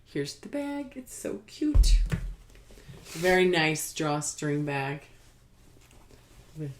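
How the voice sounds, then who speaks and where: A woman hosting a casual craft podcast, rambling haltingly and sometimes trailing off as if talking to herself. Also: low-pitched, sing-song, a little hiss or hum. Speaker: podcast host showing knitting and spinning.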